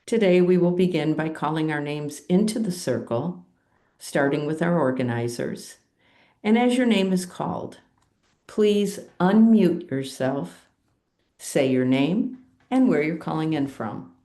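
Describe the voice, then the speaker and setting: Calm American woman host, low warm voice, unhurried, leads a group meditation. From USA. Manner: calm, measured, gently instructive. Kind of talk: slow guided meditation introduction.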